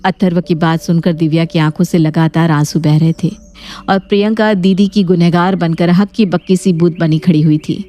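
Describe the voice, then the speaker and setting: A woman's voice: fairly low pitch, steady tone, moderate pace, mellow. A woman reading scripted story narration. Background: background music.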